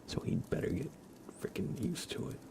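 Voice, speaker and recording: A man hosting an ASMR livestream, chatting softly and casually in a rambling way, often slipping into a whisper. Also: normal speed, noisy background. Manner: casual, rambling, hesitant with frequent "like". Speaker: livestream host. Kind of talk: soft-spoken ASMR livestream, casual chat.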